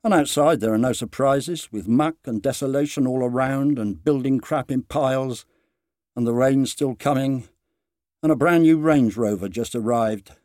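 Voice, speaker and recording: A man giving a dramatised, deliberate and expressive reading of a literary short story. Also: mid-range voice, no echo, clean studio sound. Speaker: story reader.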